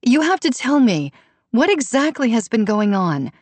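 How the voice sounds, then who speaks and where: A woman's voice: sits high, sing-song, unhurried, dry recording, pitched above the performer's own voice, full character voice. A woman narrator voicing a worried, exasperated, pleading pregnant mother in an audiobook. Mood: confronting, worried.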